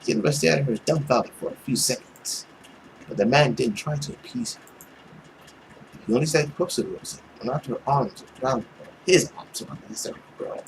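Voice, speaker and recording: A man's voice: medium pitch, normal speed, creaky, background noise. A man reading a visual novel aloud as dramatic story narration. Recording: loud music behind.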